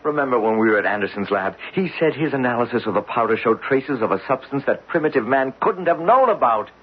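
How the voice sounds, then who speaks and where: An American actor in a 1970s radio drama, playing an earnest, desperate geologist who pleads insistently in a husky voice. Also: male voice, medium pitch, animated, fast talker. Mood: desperate, pleading. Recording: muffled lo-fi 1970s radio recording.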